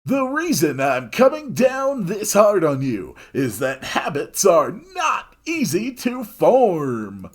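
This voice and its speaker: Male school nurse, visual novel character, done by a male reader: high, slow, emphatic, chiding yet jolly, over music. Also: huge pitch swings, heavily acted. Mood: stern, earnest. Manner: drawn-out, emphatic, chiding delivery. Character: jovial but stern male school nurse, lecturing.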